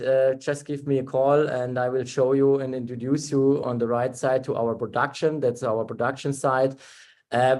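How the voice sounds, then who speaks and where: Halting German-born man presenting a webinar. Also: mid-range voice, darkish tone. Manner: halting, many fillers and restarts. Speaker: webinar presenter, dental technician.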